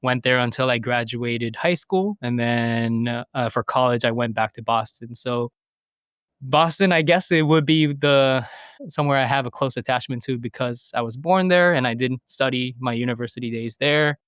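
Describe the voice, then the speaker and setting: A man's voice: medium pitch, moderate pace, fairly bright tone, smooth, dry recording, clean studio sound. A man in his thirties from the United States, a podcast guest answering questions thoughtfully with frequent fillers and restarts. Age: 30s. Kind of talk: conversational interview.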